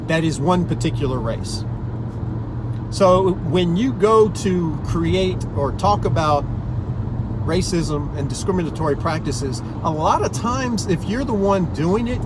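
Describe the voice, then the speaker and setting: High-voiced man speaking earnestly over traffic noise. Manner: earnest, emphatic, repeats words for stress. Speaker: vlogger. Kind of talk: personal opinion monologue to listeners.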